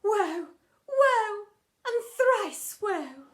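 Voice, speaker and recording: A woman's voice: very high voice, expressive, extremely slow, pitched above the performer's own voice, full character voice. A woman voicing a gushing, theatrical drama teacher from a children's storybook, speaking in mock-Shakespearean style with wobbling emotion. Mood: woeful.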